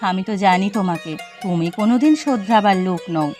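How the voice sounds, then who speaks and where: A woman's voice: mid-range voice, quick pace, darkish tone, faint music behind, close to an everyday voice. A woman with a West Bengal accent voicing a thief's suspicious, disapproving wife in a cartoon, speaking in pointed reproaches. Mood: reproachful. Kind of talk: cartoon voice acting.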